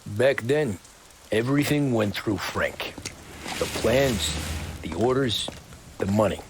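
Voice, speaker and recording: Game casting: a mobster looking back, slow, dramatic delivery over clear noise. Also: male voice, lowish voice, lively intonation. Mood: reflective. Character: reflective mobster recounting his past, a man.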